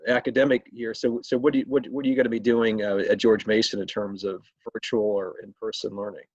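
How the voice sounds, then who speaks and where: A man conducting a conversational interview as host, speaking haltingly and often repeating his words. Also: fairly low pitch, little pitch movement. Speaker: state legislator hosting an interview. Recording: roomy, echoey, muffled video call sound.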